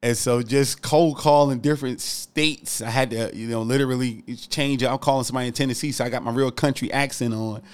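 A man's voice: medium pitch, fairly even intonation, brisk, few pauses, smooth. A man hosting a casual interview podcast, encouraging and laughing as he talks. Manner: encouraging, laughs while talking. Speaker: podcast host.

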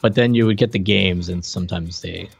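A middle-aged man hosting a podcast, chatting informally and jokingly about retro games in a halting way. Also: low voice, creaky, slightly rough, muffled recording. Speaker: podcast host. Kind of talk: casual retro game review chat.

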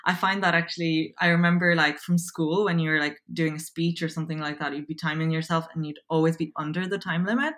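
A woman's voice: deep voice, fairly even intonation, fast talker, few pauses, fairly bright tone. A woman in her twenties with an Irish accent, chatting casually as an interview guest and filling her talk with likes and ums. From Poland. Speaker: guest, copywriter.

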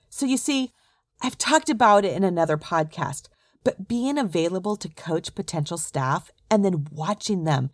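A woman's voice: fairly low pitch, a little mellow, dry recording, studio quality. A woman hosting a solo podcast, telling stories in a direct, conversational way from a script. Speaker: podcast host, recruiter.

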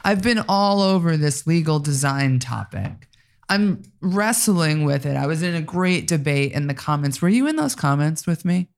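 A woman's voice: sits low, lively intonation, moderate pace. A woman hosting a podcast in an informal, effusive, joking way, in casual conversation. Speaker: podcast host.

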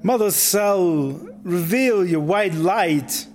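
A solemn male cult leader in a comic sci-fi radio play. His voice is high and slow, and every line comes out like a ceremonial decree. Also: a little mellow. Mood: solemn, commanding. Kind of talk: sci-fi comedy audio drama acting.

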